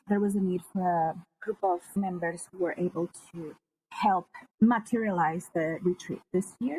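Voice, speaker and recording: Woman, mid pitch, a bit breathy, excited podcast guest. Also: poor audio. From Colombia. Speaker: actor and creator, guest. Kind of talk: interview conversation.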